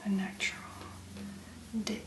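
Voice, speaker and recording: Woman doing soft spoken asmr, half whispered, really slow and gentle, breathy, bit hesitant. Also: echoey room. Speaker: ASMR content creator, make-up demonstrator.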